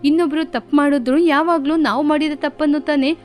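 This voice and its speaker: A woman's voice: sits high, fairly even intonation, a little fast, darkish tone, natural voice. A woman voicing a stern but caring female bird mother who scolds her daughters. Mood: resigned. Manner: stern, firm. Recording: faint music behind.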